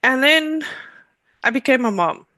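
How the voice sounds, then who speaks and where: A woman's voice: high-pitched, animated, measured pace, pushed and bright, no room sound. A middle-aged woman with a South African accent, telling her own story conversationally as an interview guest.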